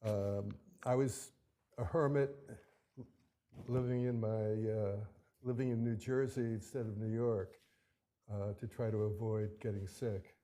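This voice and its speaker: A man arguing his case before an appellate court, speaking haltingly with many restarts and fillers. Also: on the low side, fairly even intonation, mellow. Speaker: attorney arguing his own appeal. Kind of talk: courtroom oral argument.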